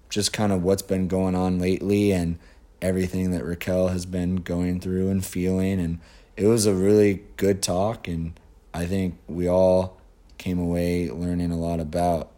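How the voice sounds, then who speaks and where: A man co-hosting a casual podcast, his voice raspy and hoarse, speaking in an earnest, measured way. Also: deep voice, warm tone. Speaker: podcast co-host. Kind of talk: casual chat.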